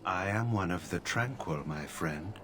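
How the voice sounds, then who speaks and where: An actor playing an emotionless male mage from a video game, who speaks in a calm, formal, flat way. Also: sits low, a little slow, music in the background. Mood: detached. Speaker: game character.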